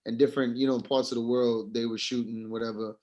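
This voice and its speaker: A man's voice: medium pitch, level tone, fast talker, a little mellow. A man in a casual group discussion, speaking informally and asking questions as a student of film.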